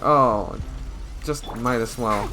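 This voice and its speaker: Male gamer, unhurried halting speech, low-key, casual commentary, clear music behind. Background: game music.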